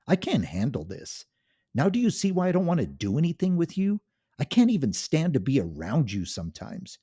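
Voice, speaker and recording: A man's voice: fairly low pitch, very animated, moderate pace, dark tone, breathy edge. A man playing a scornful, mocking wife. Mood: shouting scorn. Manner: cutting, mocking, condescending. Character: scornful cold-hearted wife, adult woman.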